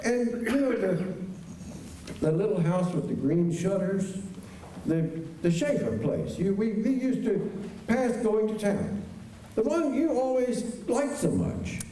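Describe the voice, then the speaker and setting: A man playing an earnest, high-voiced, pleading farmer. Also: lively intonation, mellow. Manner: halting, pleading, old-fashioned immigrant phrasing. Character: anxious, earnest farmer courting his former housekeeper. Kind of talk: radio drama acting before a live audience. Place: large school auditorium stage. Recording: echoey auditorium, distant mic, faint noise.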